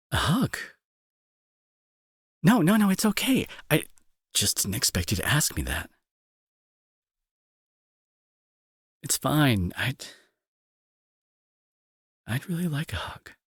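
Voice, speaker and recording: A young man playing a remorseful bully turned tender caretaker in an intimate audio drama, speaking softly and earnestly with a breathy, husky, hesitant delivery. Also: medium pitch, no echo, studio quality, exaggerated voice. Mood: flustered, vulnerable. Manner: soft, hesitant, earnest, reassuring.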